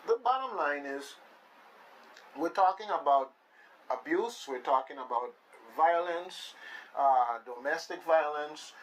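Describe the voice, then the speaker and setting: A man with a Caribbean accent from the Virgin Islands hosts casual live radio, talking playfully and haltingly and repeating his phrases. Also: fairly high pitch, expressive, slight background noise, poor audio. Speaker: radio show host. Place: radio studio with shared microphones.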